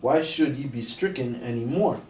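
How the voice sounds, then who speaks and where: A man reading scripture aloud in a slow, measured way during a group discussion. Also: medium pitch, animated, darkish tone. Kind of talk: scripture reading and casual chat. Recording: echoey room from far off.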